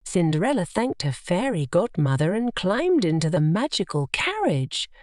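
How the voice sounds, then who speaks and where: A woman narrating a scripted children's bedtime story in an expressive, measured storytelling manner. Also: lowish voice, no echo.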